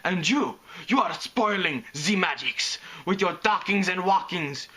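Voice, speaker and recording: A man's voice: high voice, expressive, a little slow, bright, forceful tone, pitched above the performer's own voice, heavily acted. Man putting on a flamboyant German-accented lady pony photographer. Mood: imperious. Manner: dramatic barked commands in broken English. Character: flamboyant imperious female pony fashion photographer.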